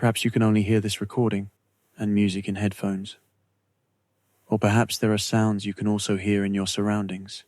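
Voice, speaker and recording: A man narrating a scripted guided meditation in a slow, measured, soothing way with long pauses. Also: on the low side, monotone, a little mellow, dry recording.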